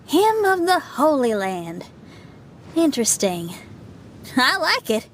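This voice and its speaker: A woman's voice: sits high, sing-song, slow, slight background noise, full character voice. An actress voicing a friendly young woman companion who makes light, amused remarks. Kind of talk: game voice acting. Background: music.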